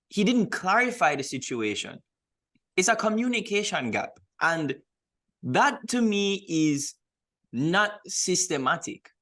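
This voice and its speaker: A man's voice: on the high side, a bit bright. A man co-hosting a sports podcast, giving emphatic opinions in a casual discussion and repeating points to stress them. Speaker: podcast co-host.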